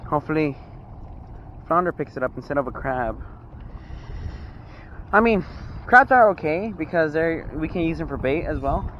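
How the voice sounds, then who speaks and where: A man's voice: fairly high pitch, animated, normal speed, long pauses, lo-fi sound. A young man from Texas giving upbeat, casual commentary to viewers while fishing on a rocky jetty, recorded on a body-worn action camera. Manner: upbeat, exclaims over each catch, addresses viewers as guys. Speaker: fishing vlogger. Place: outdoors on a rocky jetty by open water. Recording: wind noise outdoors. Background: wind and water.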